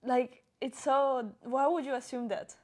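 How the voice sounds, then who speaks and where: A young woman with a Colombian accent chatting casually as a podcast co-host, animated and expressive, with lots of "like". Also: high voice, a little slow. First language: Spanish. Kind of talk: casual chat, personal anecdotes.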